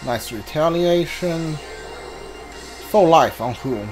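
A man's voice: fairly high pitch, expressive, slow pace, a little mellow, soft background music. A man giving casual live gameplay commentary, muttering to himself and correcting himself as he plays. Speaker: gamer, streamer.

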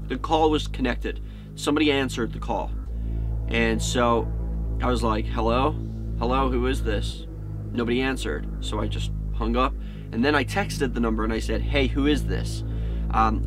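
Male vlogger, thirties, mid-range voice, conversational storytelling, music under the speech. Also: mid-range voice. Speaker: online video host. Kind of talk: casual storytelling to camera. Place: small indoor set, seated at a table.

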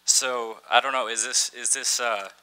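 A young man giving a conference talk from a podium in a large dim hall, halting with many fillers and restarts, and dropping in wry asides. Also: mid-range voice, steady tone, bright, forceful tone, smooth. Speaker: conference speaker. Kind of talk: conference talk with some reading aloud. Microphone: podium microphone.

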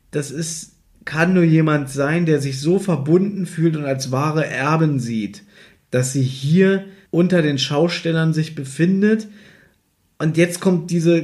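A man co-hosting a podcast in casual, conversational retelling and commentary. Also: fairly high pitch. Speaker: podcast co-host. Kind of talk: casual chat, retelling and critiquing a story.

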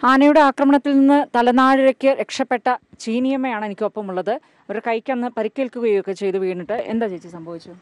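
A woman's voice: on the high side, steady tone, brisk, no room sound. A woman, reporting from the field into a handheld microphone. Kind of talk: news report to camera and field interviewing. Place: outdoors outside workers' houses.

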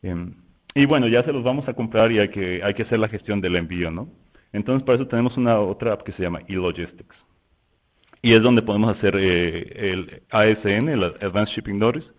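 A man with a Mexican Spanish accent talks through a software demo in a relaxed, rambling way, stopping and restarting his phrases. His voice sits low. The sound is narrow and tinny, like a phone line on a video call. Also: a little fast. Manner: loose and conversational, many fillers and restarts. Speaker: software presenter. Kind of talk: webinar product walkthrough.

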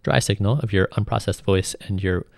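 Gravelly man explaining a software tutorial. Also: lowish voice, normal speed, no echo, clean studio sound. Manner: informal, step-by-step explaining. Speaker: music production instructor. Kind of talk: screen tutorial narration, explanatory.

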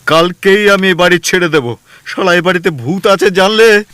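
An actor playing a nervous young husband in a radio play, who stammers and gets flustered easily. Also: male voice, high-pitched, normal speed, bright, forceful tone, clear, resonant tone, dry recording. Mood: startled. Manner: stammering, jittery.

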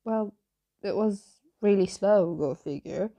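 Woman acting a creaky-voiced, hesitant vampire roommate. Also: medium pitch, slow, dark tone. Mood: wry, tired. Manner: hesitant, stammering, evasive. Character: flustered young female vampire roommate, tired, hungry, secretive. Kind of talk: ASMR roleplay, soft conversational acting.

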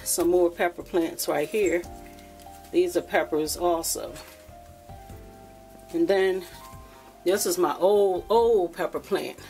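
Woman, lower voice, homey rambling vlog chat, handheld phone audio, faint music bed. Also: darkish tone. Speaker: vlogger showing her garden. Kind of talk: casual chat to camera while gardening. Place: backyard outdoors, then indoors by a window.

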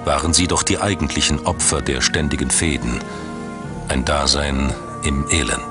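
A man reading scripted documentary narration in a measured, dramatic storytelling manner. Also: extremely low pitch, steady tone. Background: background music.

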